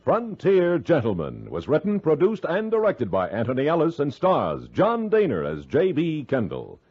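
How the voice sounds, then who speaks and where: Animated American male radio announcer. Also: fairly high pitch, moderate pace, breathy edge. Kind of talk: 1950s radio announcing, scripted credits. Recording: muffled vintage radio recording with music. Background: music.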